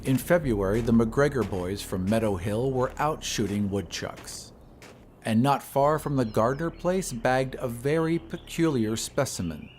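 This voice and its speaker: A man reading a classic horror story as scripted narration, in a measured, literary storytelling manner. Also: medium pitch, a little mellow, slight background noise. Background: ambient sound effects.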